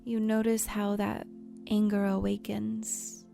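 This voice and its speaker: A woman guides a visualization in a soft, mellow voice with noticeable vocal fry. She speaks gently and soothingly, leaving unhurried pauses and sometimes slipping into breathiness. Faint music plays underneath. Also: medium pitch, completely flat delivery. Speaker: guided meditation host. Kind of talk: guided imagery meditation narration. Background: soft background music.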